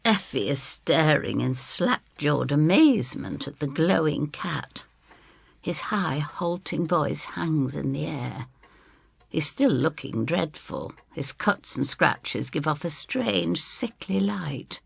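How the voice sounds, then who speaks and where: A woman's voice: deep voice, animated, slow pace, gravelly, husky, dry recording. An older woman narrating a scripted story in the first person, with dramatic delivery.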